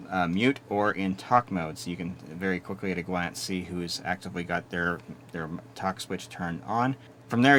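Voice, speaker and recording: A man giving a steady, methodical hands-on walkthrough of equipment he is reviewing. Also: deep voice, a little hiss or hum. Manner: steady, methodical explaining with small restarts. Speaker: product reviewer.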